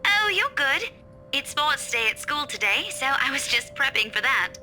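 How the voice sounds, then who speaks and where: A woman's voice: high voice, big pitch swings, normal speed, bright, forceful tone, soft background music, heavily acted. A woman playing a friendly, awkward young schoolteacher in a visual novel, speaking in informal, clipped, hesitant phrases.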